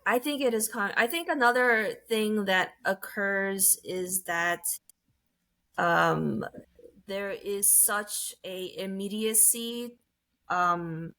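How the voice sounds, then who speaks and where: Slow, bright-voiced woman, thoughtful chat. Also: medium pitch. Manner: slow, thoughtful, with frequent pauses and fillers. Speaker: podcast co-host, English teacher. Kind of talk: casual chat.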